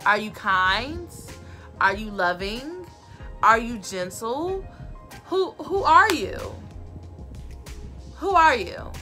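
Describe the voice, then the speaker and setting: A woman, giving sassy, emphatic advice to camera in her bedroom, repeating her points, with a loud voice that carries. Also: a little high, very animated, slow pace, long pauses, faint music behind. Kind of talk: casual advice talk to camera. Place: bedroom, indoors.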